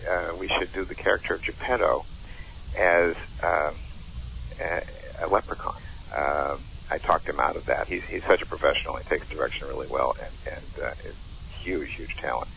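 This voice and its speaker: A man casually recollecting as a show director, in a halting, rambling manner. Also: low-pitched, creaky, fairly bright tone. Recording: dull, faintly noisy recording.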